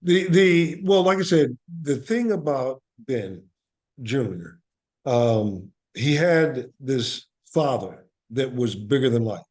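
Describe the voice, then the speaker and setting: A man with a medium-pitched voice talks as an interview guest. He is earnest and reflective, explaining in a conversational storytelling way and repeating key phrases for emphasis. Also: expressive, slow pace, a little mellow. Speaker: interview guest, actor and playwright.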